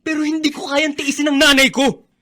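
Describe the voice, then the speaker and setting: A man's voice: very high-pitched, little pitch movement, brisk, sharp tone. A man playing a stubborn, devoted, emotional young son in a Filipino radio drama, with a Filipino accent. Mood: anguished.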